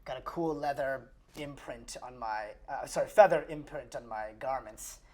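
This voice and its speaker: A woman player improvising her character in a tabletop roleplaying game, chatting casually in a wry, joking, halting way. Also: extremely low pitch, a little mellow, a little hiss or hum.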